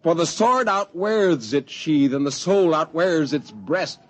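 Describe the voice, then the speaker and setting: An actor playing a brooding, literate spaceman turned zealot, intense and deliberate, in a 1950s radio drama. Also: on the high side, expressive. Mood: elegiac recital. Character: brooding, poetic male spaceman turned cold-blooded zealot. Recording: dull vintage 1950s radio recording.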